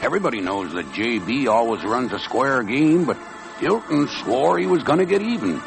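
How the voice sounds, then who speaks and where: Saloon witness: gravelly Western twang, folksy, music behind, vintage radio drama. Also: male voice, mid-range voice, big pitch swings, moderate pace, low-quality recording. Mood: folksy, insistent. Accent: American Western. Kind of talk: old-time radio western acting.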